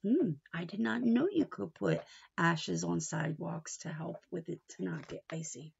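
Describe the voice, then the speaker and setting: A woman's voice: lowish voice, moderate pace, vocal fry, muffled recording, slight background noise. A woman reading a story aloud to young children like a teacher, expressively, with asides and questions left open for answers.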